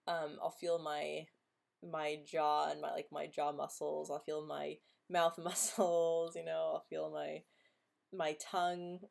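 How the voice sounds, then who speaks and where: A woman's voice: low voice, creaky, darkish tone. Woman who stutters, chatting on a live stream. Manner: stutters with repetitions and blocks, laughs often. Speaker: livestream host answering viewer questions. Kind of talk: casual live chat reading comments.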